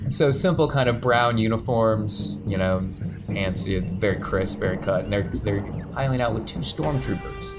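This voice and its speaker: A man's voice: lowish voice, moderate pace, music in the background. A man narrating as game master of a tabletop game, laid-back and rambling.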